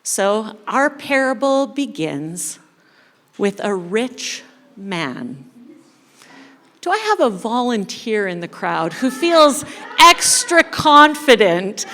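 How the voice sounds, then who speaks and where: Female, higher-pitched, unhurried church storyteller with playful delivery and dramatic pauses, heard in a reverberant hall. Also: very animated, a bit bright. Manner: playful, addresses the audience directly, dramatic pauses. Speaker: kids ministry coordinator leading a church service. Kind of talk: interactive storytelling sermon to a congregation. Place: church hall, speaking to a congregation. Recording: echoey hall with long reverb.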